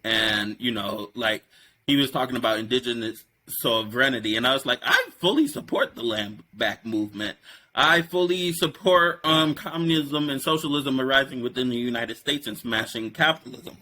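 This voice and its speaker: Bright-voiced man hosting a political chat. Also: medium pitch, normal speed, slight background noise. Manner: rambling, animated, many fillers. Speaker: podcast host. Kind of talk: casual political discussion.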